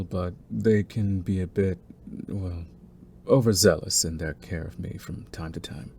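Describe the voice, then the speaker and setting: A man playing a young mafia heir in an intimate audio roleplay, smooth-spoken and deliberate, with a cunning, condescending streak beneath his courtesy. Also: low-pitched, slight background noise. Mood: polite, feigned sheepishness. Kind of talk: intimate scripted audio roleplay, ASMR acting.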